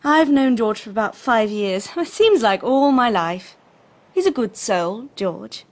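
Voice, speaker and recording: A woman's voice: on the high side, lively intonation, measured pace. A woman acting in a radio drama as a breezy, flippant young society lady with an upper-class English accent, airy and drawling. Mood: gossipy, offhand. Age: young adult.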